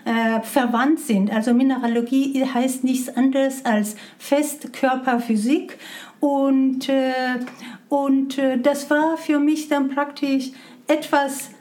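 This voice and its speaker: Middle-aged Iranian woman with a Persian accent, high voice, halting non-native German, full of fillers. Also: steady tone, a little mellow. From Iran. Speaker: interview guest. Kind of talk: conversational interview.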